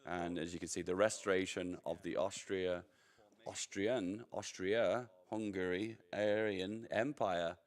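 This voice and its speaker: A man's voice: sits low, fairly even intonation, a little slow. A man from Yorkshire with a Yorkshire accent, chatting casually and rambling as he commentates a live strategy game stream. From Yorkshire, England. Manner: chatty, rambling, laughs easily. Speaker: livestream commentator.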